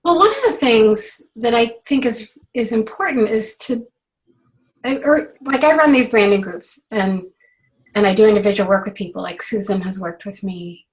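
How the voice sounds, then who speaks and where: Middle-aged woman, hoarse from a cold, relaxed host on a group call, talks in loose restarts. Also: fairly high pitch, normal speed, low-quality recording. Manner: chatty, many fillers and restarts. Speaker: branding coach hosting a clinic.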